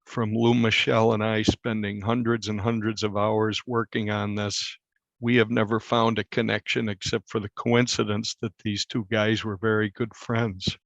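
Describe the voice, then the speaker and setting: A man with a low, creaky voice talks through events in an interview. He is measured and explanatory, like a reporter laying out details. The recording is dry and a little dull. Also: flat delivery, dry recording. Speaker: newspaper reporter being interviewed. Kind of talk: conversational storytelling interview.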